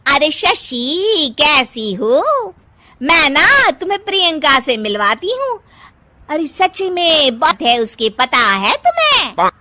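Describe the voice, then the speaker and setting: Pushy, theatrical middle-aged mother-in-law, very high, slow-paced, cartoon storytelling. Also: sing-song, sharp tone, no room sound, heavily acted. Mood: excited. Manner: exclamatory, theatrical, scolding. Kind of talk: Hindi moral-story cartoon voice acting.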